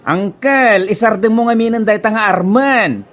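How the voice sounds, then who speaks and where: A man performs a younger nephew with a Filipino accent. The voice is high for a man, cheeky and nagging, played as radio comedy drama. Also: moderate pace, a bit bright. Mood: teasing.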